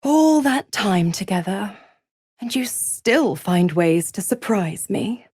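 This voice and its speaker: A woman's voice: mid-range voice, expressive, takes it slowly, darkish tone, husky, no room sound, studio quality. An actress playing a seductive, scheming female devil in a video game, mocking and purring with condescending endearments. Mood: coolly amused. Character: seductive, scheming, gloating female devil who makes pacts. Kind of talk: theatrical game character dialogue.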